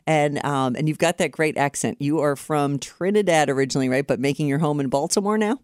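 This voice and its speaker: A woman's voice: low-pitched, a little fast, a little mellow, no room sound, studio quality. A woman hosting a radio interview in a conversational broadcast style. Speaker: radio show host.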